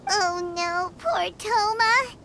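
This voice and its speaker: A woman voicing a tiny, cheeky, excitable childlike fairy companion in a video game, blunt and exclaiming. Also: very high-pitched, very slow, background noise, exaggerated voice. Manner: speaks of self in third person, exclaiming.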